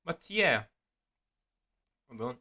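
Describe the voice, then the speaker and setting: A man's voice: mid-range voice, sing-song, takes it slowly. A man giving casual, rambling gameplay commentary as a streamer, with muttered asides and some swearing. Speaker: game streamer. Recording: loud game music behind voice.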